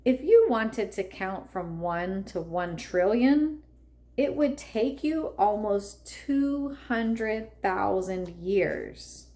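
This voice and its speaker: Slow-paced woman reading a picture book. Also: mid-range voice, animated, mellow. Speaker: read-aloud narrator for children. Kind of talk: expressive picture-book read-aloud.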